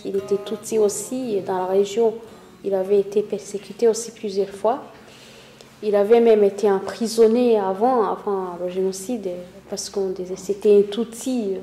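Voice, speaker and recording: A woman in her fifties from Rwanda, giving her testimony in a halting way, pausing often to search for words. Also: mid-range voice, warm tone, reverberant. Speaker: interviewee, witness. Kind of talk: spoken testimony.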